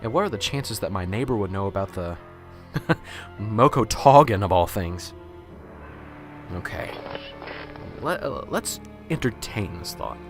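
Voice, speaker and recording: A man playing a nervous, unsure professor in an amateur radio drama, muttering anxiously to himself and stammering. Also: fairly low pitch.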